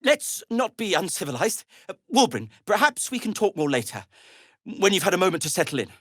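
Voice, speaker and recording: An actor playing an anxious, devoted gnome inventor in a video game, speaking in a hesitant, politely formal way. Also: male voice, sits high, very animated, fairly quick, fairly bright tone, dry recording, studio quality, exaggerated voice. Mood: hesitant, placating. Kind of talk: video game character acting.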